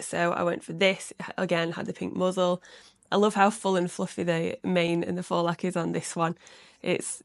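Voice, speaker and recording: A young woman vlogging from home about her crochet work, chatty and rambling with a slightly croaky voice from being unwell. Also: fairly low pitch, no room sound, studio quality. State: slightly croaky from recent illness. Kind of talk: casual chatty vlog. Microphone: close microphone.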